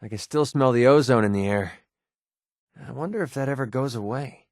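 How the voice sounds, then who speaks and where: A man's voice: medium pitch, fairly quick, a little mellow, no room sound, clean studio sound, lightly acted. A man with an American accent playing a sarcastic, wisecracking young mercenary companion in a video game. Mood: somber, reflective. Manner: sardonic, wisecracking, colloquial. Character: wry, sarcastic young male wasteland mercenary. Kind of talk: video game companion dialogue, naturalistic acting.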